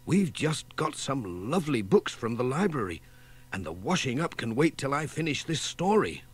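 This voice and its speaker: A man acts out an easygoing farm mum in a storybook. She sounds homely and unhurried, with a pitch that rises and falls freely. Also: very animated, breathy edge. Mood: breezy. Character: easygoing farmer's wife, homely mother of young children.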